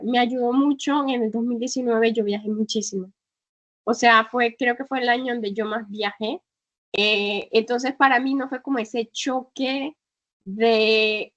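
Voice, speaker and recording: Reflective woman, slightly high voice. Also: fairly bright tone, lo-fi sound. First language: Spanish. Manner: reflective, many fillers and restarts. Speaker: guest, travel entrepreneur. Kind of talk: conversational interview.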